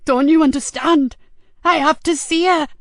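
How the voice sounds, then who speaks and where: A woman's voice: sits high, moderate pace, dry recording, clean studio sound, voice pushed up. A woman voicing a frightened, desperate young woman on the run in an audiobook, pleading urgently and tearfully in an odd manner. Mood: scared, tearful.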